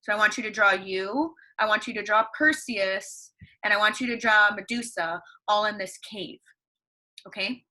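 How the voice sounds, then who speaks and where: Brief: young woman teacher, bright ringing voice, upbeat, quizzes her class and answers herself, computer mic at home. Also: medium pitch, normal speed, poor audio. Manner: upbeat, asks questions and answers them herself. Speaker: elementary school teacher. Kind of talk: read-along lesson for young students at home. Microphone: computer microphone.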